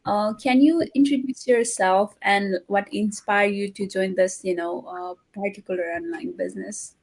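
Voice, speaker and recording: A middle-aged woman from Nepal hosting a live online talk show, speaking haltingly with many fillers and restarts. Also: mid-range voice, steady tone, gravelly, a little mellow, slightly rough. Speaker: host.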